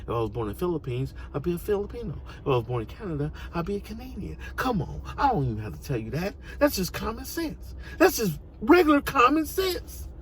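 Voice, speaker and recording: American man, very swingy pitch, emphatic street-slang commentary, exhorting, slight background noise. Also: wildly swooping pitch, fairly quick, hardly any pauses. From United States. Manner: emphatic, exhorting, repeats phrases for effect. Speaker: online commentator. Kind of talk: opinionated informal monologue.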